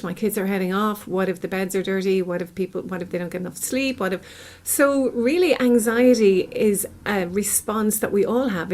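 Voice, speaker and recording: An Irish woman in middle age speaks as a podcast guest. She has a medium-pitched voice and explains ideas conversationally, the way a psychologist would, leaning on simple everyday examples. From Ireland.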